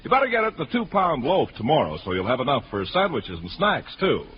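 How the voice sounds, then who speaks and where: A man's voice: on the high side, big pitch swings, quick pace, dull audio. An American man reading scripted 1940s radio announcements as a polished salesman. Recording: noisy, band-limited 1940s radio broadcast.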